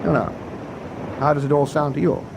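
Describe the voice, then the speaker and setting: An actor playing a seasoned British warship captain in a radio drama, speaking with dry, jaunty understatement. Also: male voice, on the high side, fairly quick, gravelly. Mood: briskly pleased. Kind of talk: full-cast radio drama acting. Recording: noisy ship ambience behind voice.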